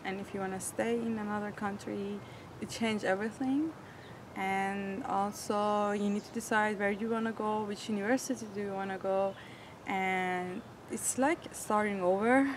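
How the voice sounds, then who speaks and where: Young woman in her twenties, mid-pitched, earnest and searching for words, vehicle noise clearly audible behind her. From Iran. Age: 20s. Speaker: interviewee. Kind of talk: personal storytelling.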